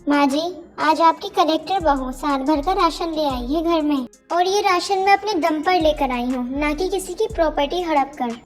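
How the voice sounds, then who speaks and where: A woman's voice: high voice, moderate pace, lightly acted. A woman voicing a kind, proud young daughter-in-law in a Hindi moral-story cartoon, with music behind her. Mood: proud, excited.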